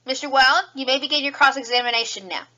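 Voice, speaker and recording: Brisk, high-voiced rabbit cop played by a woman. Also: bright, forceful tone, muffled recording. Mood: crisp, formal. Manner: formal, assertive. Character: young female rabbit police officer, brisk and earnest. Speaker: voice actor in a fan audio drama. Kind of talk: courtroom audio drama acting.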